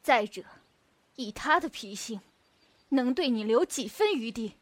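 A woman's voice: a little high, animated, unhurried. A woman playing a stern elder female disciple in a Chinese wuxia radio drama, scolding in a firm, reproving way.

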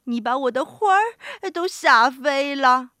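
A woman voicing a small, skinny old woman in a children's story, exclaiming dramatically in a shrill, excitable manner. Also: slow pace, dry recording, clean studio sound, exaggerated voice. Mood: frightened.

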